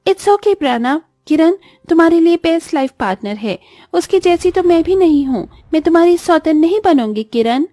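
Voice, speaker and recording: Woman acting a high-voiced modern young girlfriend. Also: expressive, normal speed, mellow, no echo. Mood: poised. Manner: breezy, mixes English into Hindi.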